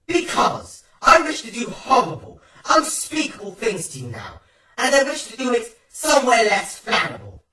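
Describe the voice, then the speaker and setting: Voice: medium pitch, sing-song, normal speed, bright, forceful tone, reverberant, distant mic, pitched above the performer's own voice, low-quality recording. A performer voicing a volatile female pony princess, imperious and theatrical, with menacing politeness, in a storybook reading. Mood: menacing fury.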